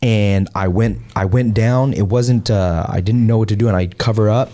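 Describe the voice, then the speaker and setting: A man's voice: on the low side, steady tone, normal speed, warm tone. An American man hosting a podcast, chatting casually and telling personal stories in informal, slangy phrasing. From Hawaii, United States. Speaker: podcast host. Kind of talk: casual chat and personal storytelling.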